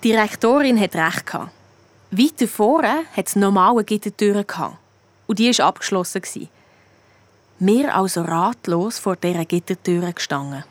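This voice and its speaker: A woman's voice: mid-range voice, lively intonation, moderate pace, no room sound, close to an everyday voice. A female performer with a Swiss German accent playing a young girl detective who tells her case in colloquial first-person narration. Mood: puzzled. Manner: colloquial storytelling. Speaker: narrator of a detective radio play.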